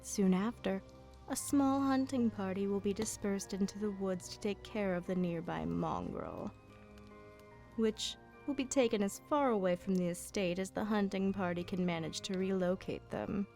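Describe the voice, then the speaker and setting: A woman playing an aristocratic female vampire in an ASMR roleplay, coolly menacing and condescending, with formal, deliberate wording. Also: mid-range voice, gravelly, close to an everyday voice. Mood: coolly ominous. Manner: slow, formal, deliberate, softly threatening. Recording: music behind the voice. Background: music.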